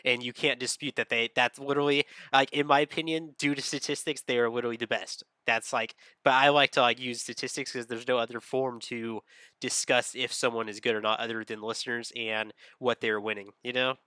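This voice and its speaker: A man's voice: medium pitch, steady tone, fairly quick, sharp tone, clean tone, no echo. A man hosting a reaction video, talking casually and rambling with lots of "like" and "literally.". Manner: rambling, heavy use of "like" and "literally".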